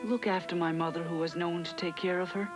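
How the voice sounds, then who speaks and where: A performer playing an earnest, plucky young boy hero in a children's storybook drama, expressive and excitable. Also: mid-range voice, brisk, over music, close to an everyday voice. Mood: hopeful.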